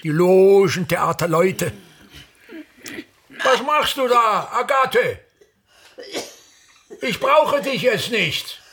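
An actor playing a pompous, embittered aging stage actor in a radio drama, declaiming grandly and with self-pity. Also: male voice, high-pitched, animated, very slow, pauses often, a bit bright, slightly rough, heavily acted. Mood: irritated, scornful. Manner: grandiloquent, declaiming, self-pitying, repeats phrases. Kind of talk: theatrical German-language radio drama monologue.

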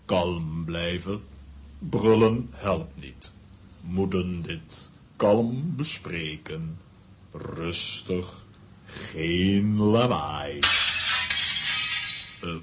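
An actor playing a big, calm, placid man in a children's audio drama, speaking in clipped phrases. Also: deep voice, extremely slow. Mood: soothing. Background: music.

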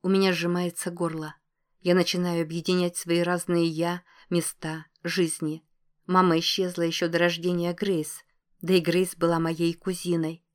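A woman narrates a first-person novel. Her voice sits fairly low and keeps to a narrow, steady pitch, in a scripted audiobook style. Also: moderate pace.

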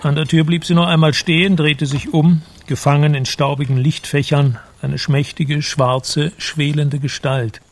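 A man narrating a literary radio drama in a measured, descriptive manner. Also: a little high, level tone. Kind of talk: literary scripted narration in German.

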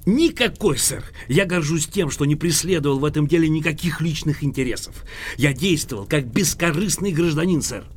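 An actor in an audio drama playing a cranky, boastful, litigious old English gentleman, emphatic, pompous and theatrical, with a creaky, husky voice. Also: on the high side, animated, moderate pace. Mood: proud, indignant. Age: older.

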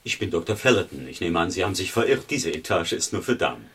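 An actor playing an officious, irritable male doctor in a radio crime drama, curt and husky. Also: low voice, sing-song, fast, fairly bright tone, distant mic, slight background noise, exaggerated voice.

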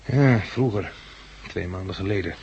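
An actor playing a practical but worried husband and survivor in a 1960s Dutch radio drama. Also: male voice, low-pitched, moderate pace, darkish tone. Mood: worried, insistent. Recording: dull, noisy 1960s radio recording.

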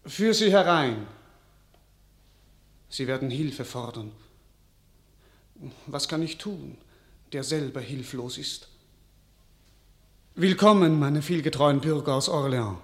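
A man's voice: a little high, lively intonation, slow, pauses often. An actor playing a beleaguered, helpless king in a classic German radio play, declaiming verse from weary to impassioned. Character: beleaguered young king, weak and desperate. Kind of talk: 1950s-style German radio drama acting.

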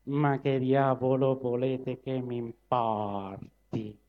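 A man voicing a grim clerical hyena who mutters low and slow with a Roman accent. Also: flat delivery, close to an everyday voice. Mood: grumbling. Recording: dull live recording.

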